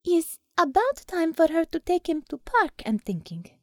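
Woman doing a deadpan dispatcher, lively pitch. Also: moderate pace, no echo, studio quality, full character voice. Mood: matter-of-fact. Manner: clipped broken English, matter-of-fact. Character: deadpan, obliging Russian comrade on radio dispatch.

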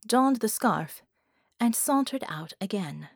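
A woman's voice: medium pitch, expressive, slow, creaky, slightly rough, no echo. A woman reading scripted fiction narration as an audiobook narrator, recorded close.

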